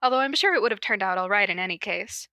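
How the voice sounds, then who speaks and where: A woman voicing a polite, level-toned young man with quiet menace in a story reading. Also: mid-range voice, animated, no echo, clean studio sound. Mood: smugly polite. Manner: courteous, measured.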